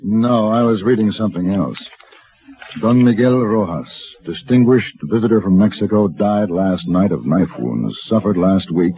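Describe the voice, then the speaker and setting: An actor playing a cool, cultured gun-for-hire in a 1950s radio drama, assured and direct. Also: male voice, lowish voice, moderate pace, close to an everyday voice. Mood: businesslike. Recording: muffled vintage radio recording.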